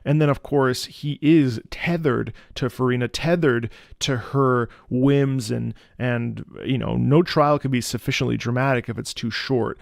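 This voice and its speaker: A man giving casual, analytical reaction commentary, thoughtful and often halting as he searches for words. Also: mid-range voice, vocal fry, dry recording, clean studio sound. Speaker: music reaction host, opera singer.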